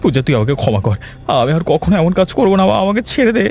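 A man's voice: fairly high pitch, sing-song, very fast, a little mellow, a little hiss or hum. A man voicing a wicked, cowardly village doctor in a scripted cartoon, with an anxious, faltering delivery. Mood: terrified, begging. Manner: stammering, pleading.

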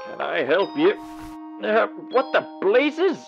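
A man voicing a pompous, prickly, old-fashioned demon gentleman with formal, theatrical delivery in a cartoon comic dub. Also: high-pitched, big pitch swings, slow, gravelly, lo-fi sound, over music, heavily acted. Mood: flustered, indignant. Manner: pompous, formal, clipped indignation.

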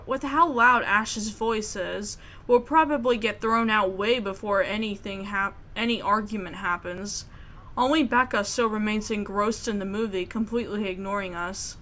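A woman with a mid-pitched voice narrates a visual novel aloud. She is expressive and a bit sassy, slipping into casual commentary over faint noise and game ambience.

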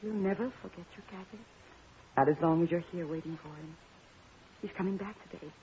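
An actress playing a young princess, refined and formal, in 1950s radio operetta style. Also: female voice, fairly low pitch, a little fast, mellow, a little hiss or hum, natural voice. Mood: earnest pleading. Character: young royal princess, dignified, pleading, jealous yet gracious. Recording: tinny, narrow old radio broadcast.

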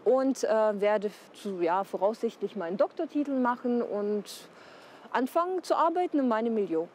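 A woman of twenty-three, a student, speaking calmly and a little haltingly outdoors. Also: on the high side, slight background noise. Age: 20s. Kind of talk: interview. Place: outdoors in a courtyard.

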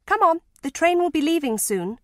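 Woman acting a brisk female minder, storybook character, high pitch, very animated, dry sound. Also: no room sound. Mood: urgent. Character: brisk grown-up woman minding evacuee children.

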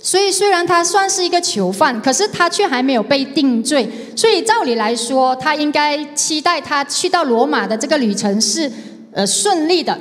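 High-voiced Malaysian woman interpreting in a hall. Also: expressive, moderate pace. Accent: Malaysian Mandarin. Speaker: sermon interpreter. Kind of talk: consecutive interpreting of a sermon. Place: large church hall, indoors, on stage. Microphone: handheld mic. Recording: echoey hall with long reverb.

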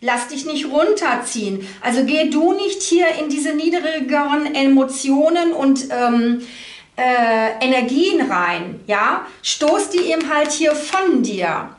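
A woman giving an unscripted card reading to the viewer, thinking aloud haltingly and adding small tag questions. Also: sits high, lively intonation. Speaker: tarot card reader, host. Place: indoor room at a table. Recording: roomy echo.

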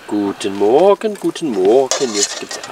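A man's voice: on the low side, sing-song, unhurried. A man chatting casually and joking while cooking and doing chores at a forest camp. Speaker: bushcraft vlogger. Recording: noisy outdoor camp audio.

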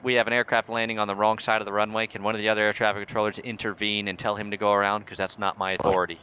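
A man's voice: on the low side, little pitch movement, racing, pushed and bright. A man improvising as an air traffic controller in a flight simulator game, bossy, sarcastic and mock-authoritative. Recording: muffled voice chat with faint noise.

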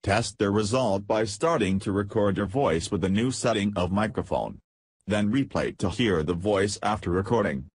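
A man reading a scripted, step-by-step software tutorial as its narrator. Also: fairly low pitch, normal speed, husky, no room sound, studio quality.

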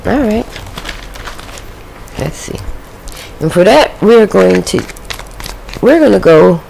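A woman's voice: medium pitch, expressive, takes it slowly, vocal fry, dark tone, a little hiss or hum, rough recording. A woman doing a casual tarot reading at a home table, chatting to the spirit and her viewers. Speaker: tarot reader.

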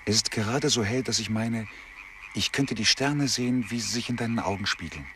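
An actor playing an earnest, tender lover in a radio play, gentle and intimate, with frogs croaking behind. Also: male voice, on the low side, brisk, slight background noise, lightly acted. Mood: tender, devoted.

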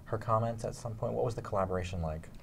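A middle-aged man with a fairly low voice hosts an interview in a conversational way. He is recorded indoors, with faint room noise behind him. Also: quick pace, gravelly. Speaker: interview host.

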